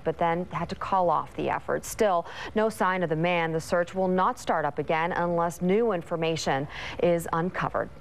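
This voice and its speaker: An American woman working as a TV news anchor, delivering scripted morning news, weather and sports with brief ad-libs. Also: lowish voice.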